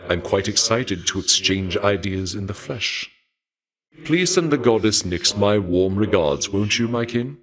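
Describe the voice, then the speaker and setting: A man voicing a courteous, regal god of war in a video game, speaking formally in archaic, measured phrases with a dark fascination for death. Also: fairly low pitch, expressive. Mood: polite, admiring. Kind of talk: scripted video game character dialogue.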